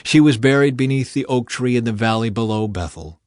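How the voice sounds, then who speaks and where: A man narrating scripture as an audiobook reader, in a measured, solemn way. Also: medium pitch, darkish tone, no room sound, clean studio sound.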